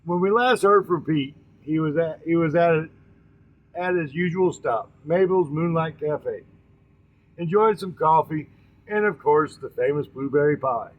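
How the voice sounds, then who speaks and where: A man hosting an informal storytelling session, speaking in a folksy, halting way, often repeating and correcting his words and addressing listeners directly. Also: fairly high pitch, narrow, tinny audio. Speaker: storytelling host.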